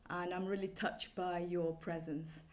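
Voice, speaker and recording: A middle-aged woman from Malaysia giving a public lecture as a professor, speaking graciously with hesitant pauses. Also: on the low side, level tone. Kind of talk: public lecture, semi-scripted. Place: lecture hall with a live audience.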